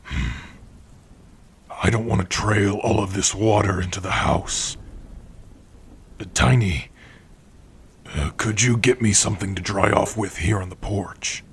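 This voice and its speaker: A man playing a gentle, protective orc blacksmith in an ASMR roleplay, speaking tenderly and without hurry to a beloved listener. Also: fairly low pitch, steady tone, full character voice. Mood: tender, relaxed. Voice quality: husky. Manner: unhurried, tender, with soft sighs and hums. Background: rain.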